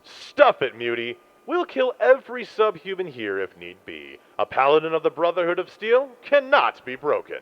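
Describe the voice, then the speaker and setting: A man playing a proud, zealous, commanding armored soldier in an audio drama, gravelly, with slightly dull sound. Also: fairly high pitch, huge pitch swings, normal speed, hardly any pauses. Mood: defiant anger. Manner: forceful, commanding, militant. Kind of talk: post-apocalyptic audio drama acting.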